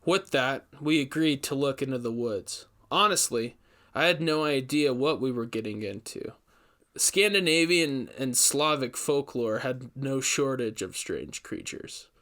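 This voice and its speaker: A man hosting and reading a scripted story with a deliberate, theatrical delivery. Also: mid-range voice, lively intonation, fairly bright tone. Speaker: host and story narrator. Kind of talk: dramatic scripted story narration.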